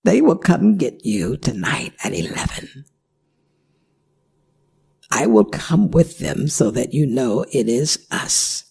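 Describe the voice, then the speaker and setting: A performer voicing a worried, gentle older werewolf mother in an ASMR audio drama, speaking in halting, pleading phrases. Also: mid-range voice, sing-song, creaky, breathy edge, exaggerated voice. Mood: earnest instructing.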